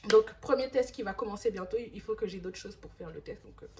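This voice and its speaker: A woman in her thirties chats casually to her camera at home. She rambles, restarts her sentences and fills the gaps with hesitations, in a voice of middling pitch. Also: fairly quick, mellow, slight background noise. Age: 30s. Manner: rambling, many restarts and fillers. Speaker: vlogger.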